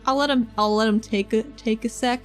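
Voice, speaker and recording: A woman's voice: a little high, steady tone, brisk. A woman playing in a tabletop roleplaying game, describing her moves in casual, informal chat. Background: faint music.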